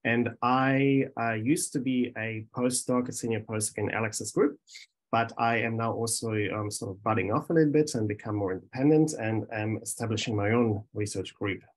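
A man's voice: on the low side, moderate pace, low-quality recording. German-accented man presenting over a call. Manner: hesitant, many ums and restarts. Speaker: research scientist. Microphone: video call.

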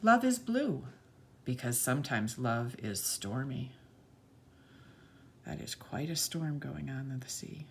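A woman reading a picture book aloud to young children, speaking to them directly in an expressive storytelling manner. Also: extremely low pitch, slow, pauses often, a little hiss or hum.

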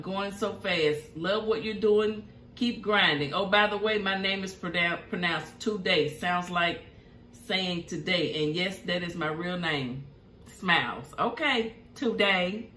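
A woman's voice: medium pitch, pushed and bright, reverberant, a little hiss or hum. A middle-aged woman with a Southern US accent hosting an eating show at home, humming over her food between chatty remarks and reading viewer comments aloud. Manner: chatty, savoring food with hums between bites. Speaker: mukbang host. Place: home room at a table.

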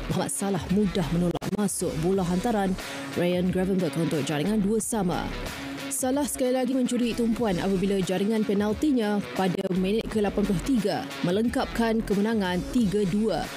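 Brief: young female sports anchor, even mid pitch, formal studio read with background music. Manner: steady, formal delivery. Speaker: sports news presenter. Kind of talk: scripted news reading. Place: TV news studio. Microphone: studio mic. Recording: news music bed underneath.

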